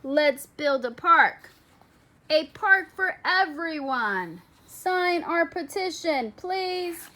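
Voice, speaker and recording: An earnest little girl from a storybook, acted by a woman reader. She speaks slowly in a high voice, hesitant yet brave, and means every word. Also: lively intonation, bright, forceful tone, exaggerated voice. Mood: hopeful. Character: brave, earnest second-grade girl with a petition.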